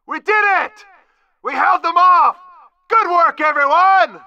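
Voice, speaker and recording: Sharp, high-voiced male base announcer. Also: takes it slowly, no room sound, dull audio, full character voice. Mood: triumphant. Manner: urgent, clipped, emphatic. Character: alert male base announcer calling out battle warnings. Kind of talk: video game announcements.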